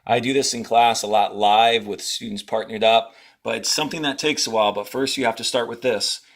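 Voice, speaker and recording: Male elementary teacher, mid-pitched, giving deliberate instructional read-aloud with short directives for young pupils. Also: steady tone. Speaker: fourth-grade teacher.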